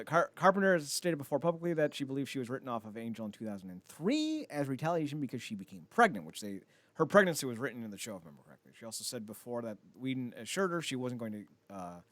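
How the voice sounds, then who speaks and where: A man's voice: mid-range voice, a bit bright, dry recording. A man chatting casually as a podcast host, rambling, opinionated and freely profane. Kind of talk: casual podcast chat about films and entertainment news.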